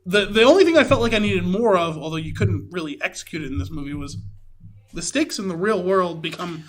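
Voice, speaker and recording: A man's voice: high-pitched, expressive, a little fast. A man co-hosting a podcast, giving a casual, conversational and analytical take on a film. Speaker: podcast co-host.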